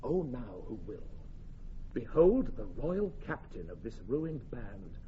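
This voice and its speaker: A man playing a solemn Chorus narrator, speaking Shakespearean verse in a measured, declamatory way with dramatic swells and hushes. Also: mid-range voice, sing-song. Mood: stirring. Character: solemn Chorus narrator setting a night before battle. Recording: dull, faintly hissy recording.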